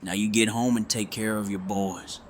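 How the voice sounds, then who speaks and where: A man acting a gruff, loyal survivor in an audio drama, terse and plainspoken. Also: lowish voice, fairly even intonation, normal speed, slight background noise, lightly acted. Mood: quietly loyal. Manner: terse, understated.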